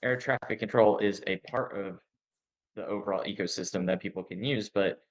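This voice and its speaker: A man's voice: lowish voice, fairly quick, rough recording. A man hosting a conversational interview, reflecting appreciatively and summing up what he has heard. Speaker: interviewer, host.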